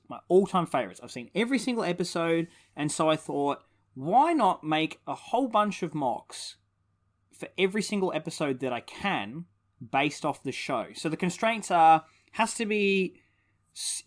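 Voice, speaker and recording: A young man with a mid-pitched voice presents a hobby project in casual commentary. He thinks aloud as he goes, sometimes hesitant, in the loose style of a screen-recorded walkthrough. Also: a bit bright. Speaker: hobbyist creator presenting own builds.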